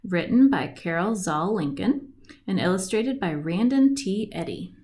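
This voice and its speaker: A woman narrates a children's picture book in a medium-pitched voice that rises and falls in a lively way. She phrases deliberately, drawing out words and giving lists a lilt. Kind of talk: read-aloud storybook narration. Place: indoors at home, at a wooden table by a window.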